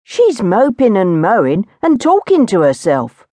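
A woman's voice: medium pitch, huge pitch swings, a little slow, warm tone, no echo, pitched above the performer's own voice. A woman voicing a curious, gossipy young male footman with common servant's speech.

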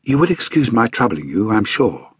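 Guy reading as a young british governess, brisk and polite, careful wording, slightly husky, dry sound. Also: on the low side, very animated, dry recording, exaggerated voice. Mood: polite, anxious. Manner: earnest, precise, courteous. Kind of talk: audiobook character acting.

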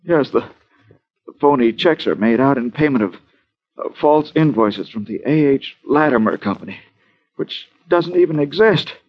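A frightened bedridden American man acted by a man, stammering and pleading in a mid-range voice. It is old radio crime drama, halting and urgent, with a dull vintage sound. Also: mid-range voice, expressive, natural voice. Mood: anxious, urgent. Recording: muffled vintage radio broadcast recording.

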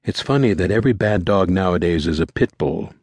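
A man's voice: deep voice, expressive, brisk, a little mellow, husky, dry recording, studio quality, heavily acted. A man voicing a blunt, suspicious, hard-edged traveller in a thriller story, with a snarling, distrustful manner. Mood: scornful.

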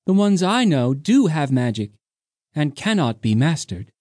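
Male reader doing a higher, gentle, whimsical ageless sea maiden in a storybook reading, dry close sound. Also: very animated, measured pace, dry recording. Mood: wistful. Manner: soft, precise, lilting. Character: mysterious ancient sea woman, young-seeming, whimsical.

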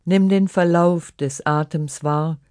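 A meditation guide reading a scripted relaxation in short, measured, instructive phrases. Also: medium pitch, fairly even intonation, darkish tone, no echo, studio quality. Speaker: yoga nidra meditation guide.

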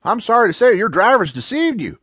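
A reader voicing a kindly, hospitable old farmer, gentle and courteous. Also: medium pitch, sing-song, fairly quick, slightly rough, no echo, clean studio sound. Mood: welcoming.

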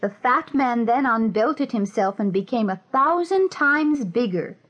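A woman's voice: a little high, animated, unhurried, fairly bright tone. A woman narrating a dramatized fairy-tale audiobook in a scripted storytelling style.

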